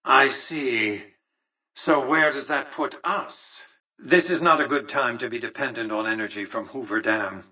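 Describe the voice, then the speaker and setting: An actor playing an imperious, calculating tycoon in a video game, speaking formally with pompous condescension. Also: male voice, medium pitch, a little slow, sharp tone, breathy edge, recorded from a distance, exaggerated voice, poor audio. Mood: businesslike. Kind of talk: scripted game character dialogue.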